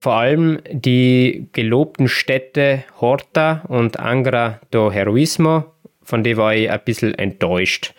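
A man hosts a podcast, recounting his travels in a relaxed, chatty way. His voice sits at an ordinary middle pitch, and he pauses now and then with small hesitations. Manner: relaxed storytelling with occasional laughs and hesitations. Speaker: podcast host. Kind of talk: casual podcast chat.

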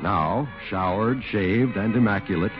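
A man's voice: on the low side, a little slow. A male radio announcer giving theatrical scripted narration. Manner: smooth, theatrical announcer delivery. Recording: dull 1940s radio recording with music.

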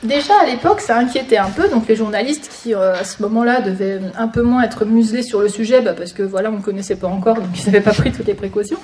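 A woman's voice: medium pitch, fast, hardly any pauses, darkish tone. A woman giving an informal public lecture as a guest speaker, with wry, sarcastic asides. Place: conference room before an audience. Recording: echoey room, distant mic, noisy.

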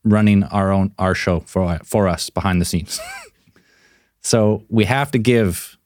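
Lower-voiced man leading a podcast segment. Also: unhurried, a little mellow. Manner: upbeat, leads segments, laughs. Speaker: podcast co-host. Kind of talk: casual chat.

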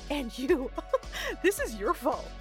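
A sharp-tongued, domineering mom in a dark comedy audio drama. Her voice is high and very lively in pitch, with music behind it. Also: takes it slowly, full character voice. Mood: scolding. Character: sharp-tongued, domineering mother at a Halloween party.

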